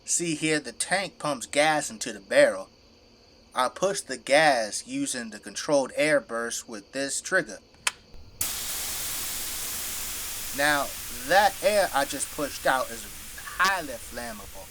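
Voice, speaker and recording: A man's voice: medium pitch, expressive, a little slow, fairly bright tone, rough recording. An actor playing a folksy, boastful, tipsy middle-aged father who fights zombies with a flamethrower in an audio drama. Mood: proud, showing off. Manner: folksy, colloquial, easygoing bragging.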